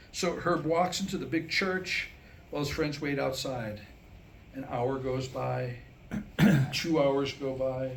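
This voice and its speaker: Wry man, lively higher-pitched voice. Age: 60s. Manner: wry joke-telling, acting out quoted dialogue. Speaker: comedic writer-performer at a staged reading. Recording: live room recording with background noise.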